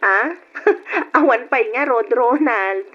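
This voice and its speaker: A woman's voice: a little high, slow. A woman acting in an Ilocano radio drama, playing a supportive, caring single friend. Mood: cheerful. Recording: muffled old radio recording.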